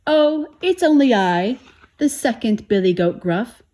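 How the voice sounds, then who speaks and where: A woman's voice: a little high, animated, unhurried, mellow, pitched above the performer's own voice. A woman voicing a polite middle-sized billy goat from a storybook, pleading carefully.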